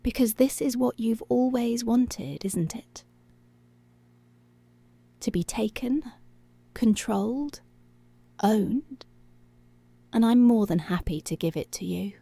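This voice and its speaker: A woman playing a dominant, seductive policewoman in an intimate ASMR roleplay, breathy and deliberate, often dropping to a whisper. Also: fairly high pitch, warm tone, dry recording, exaggerated voice. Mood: commanding, seductive. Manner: slow, deliberate, commanding.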